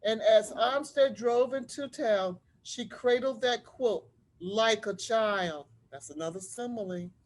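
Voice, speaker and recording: A woman reading a storybook aloud to children, explaining things simply and deliberately like a school librarian. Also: fairly high pitch. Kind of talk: read-aloud storytelling with teacherly asides.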